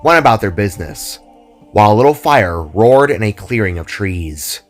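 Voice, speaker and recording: Man, lowish pitch, scripted story narration, storyteller, soft music behind. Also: animated, moderate pace.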